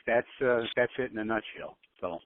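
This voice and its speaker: A man airing opinions on a conference call, insistent and halting, often repeating his phrases. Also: mid-range voice. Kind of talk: casual discussion, airing opinions. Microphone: telephone. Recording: lo-fi, tinny phone line.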